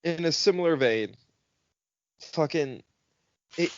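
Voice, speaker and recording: A man's voice: fairly high pitch, expressive, slow, muffled recording. A man co-hosting a podcast in casual film banter, sarcastic and profane, mockingly acting out lines. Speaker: podcast co-host.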